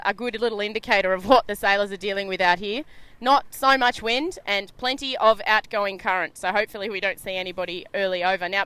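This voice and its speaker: A woman from Sydney with a loud, bubbly, chatty manner, giving live commentary on a sailing race from a media boat. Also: medium pitch, smooth, no room sound. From Australia.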